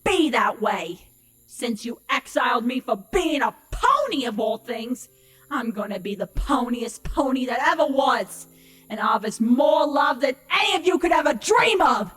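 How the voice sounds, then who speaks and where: A woman's voice: on the high side, lively intonation, a little slow, fairly bright tone, faint music behind. A woman voicing a bitter, defiant exiled changeling in an audio play, sarcastic and brash. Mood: angry defiance. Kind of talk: audio play character acting.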